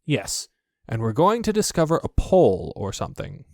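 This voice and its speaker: A man's voice: medium pitch, sing-song, normal speed, a little mellow, no room sound, clean studio sound. A man voicing a naive, good-natured toy bear in a storybook, speaking in an eager, wondering way. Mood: cheerful. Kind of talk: storybook character voice.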